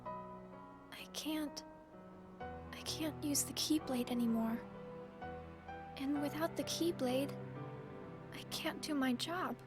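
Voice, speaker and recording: A woman's voice: high voice, slow, pauses often. A woman playing a timid, earnest teenage girl in a video game cutscene, speaking hesitantly with music behind her. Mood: downcast, worried.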